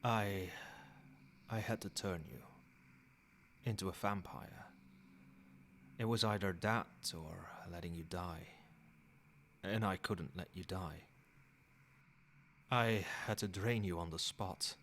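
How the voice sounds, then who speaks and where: A man plays an ancient male vampire sire with a low, soft-spoken voice. He talks in measured, formal phrases, soothing yet possessive, in the intimate style of an ASMR roleplay. There is noticeable noise behind the voice. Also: heavily acted. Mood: grave, confessional.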